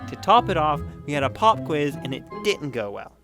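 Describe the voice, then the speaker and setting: An actor playing a grumpy, easily exasperated workplace boss in a comedic audio drama, with a sarcastic edge. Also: male voice, on the high side, big pitch swings, moderate pace, music in the background. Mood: grumpy, resigned. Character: exasperated grumpy young man, workplace boss, prone to overreaction.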